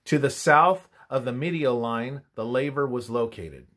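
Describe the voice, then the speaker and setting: A man reading a scripted religious study in a measured, instructive manner, his phrases often trailing off softly at the ends. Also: mid-range voice.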